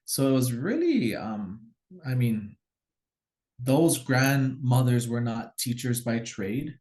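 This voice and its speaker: A man's voice: mid-range voice, slow. Hawaiian man telling teaching stories. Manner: relaxed, explanatory, acts out quoted commands. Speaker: guest teacher. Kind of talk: casual storytelling conversation.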